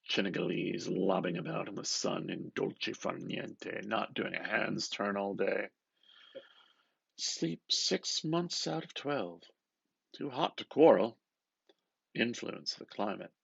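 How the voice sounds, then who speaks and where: A man giving an expressive, theatrical reading of literary prose, lingering over his phrases in a slightly muffled recording. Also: on the low side, vocal fry, slightly rough, dry recording. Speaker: reader, artist. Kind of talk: dramatic literary reading aloud.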